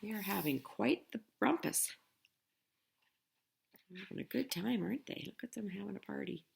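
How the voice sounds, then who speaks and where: A woman's voice: medium pitch, normal speed, gravelly, a little hiss or hum. A woman reading a storybook aloud expressively, with chatty asides for young listeners. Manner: expressive, dramatic emphasis on story lines. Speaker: picture book reader.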